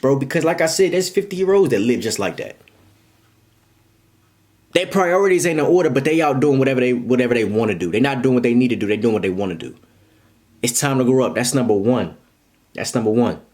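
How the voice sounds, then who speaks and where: A man's voice: mid-range voice, a little mellow. Young male vlogger, twenties, punchy and repetitive, lecturing viewers like a big brother. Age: 20s. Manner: emphatic and repetitive, talks straight to viewers. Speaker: vlogger giving life advice. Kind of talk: casual motivational monologue.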